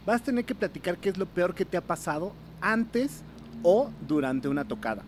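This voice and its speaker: A Mexican man with a high-pitched voice hosts a casual interview. He jokes irreverently and asks his questions in loose street slang. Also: sing-song, moderate pace, slight background noise. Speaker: podcast host.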